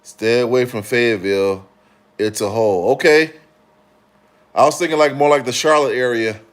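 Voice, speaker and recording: A man's voice: medium pitch, normal speed. Rambling man chatting on a livestream. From Chicago, Illinois. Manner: relaxed, rambling, frequent restarts and fillers. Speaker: livestream host. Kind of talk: casual livestream chat reading comments.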